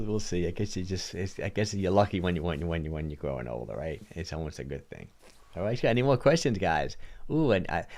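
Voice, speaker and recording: A man in his late fifties hosting a casual fitness livestream Q&A from a home studio, rambling and restarting his sentences. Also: sits low. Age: 50s. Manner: rambling, repeats words and restarts often. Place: home studio with purple lighting. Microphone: studio mic on boom arm.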